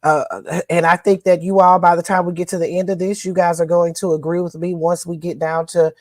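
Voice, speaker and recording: A woman's voice: lowish voice, level tone, fairly quick, a little mellow, no echo, clean studio sound. A woman co-hosting a podcast, recapping news in a chatty, folksy way with lots of fillers. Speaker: co-host.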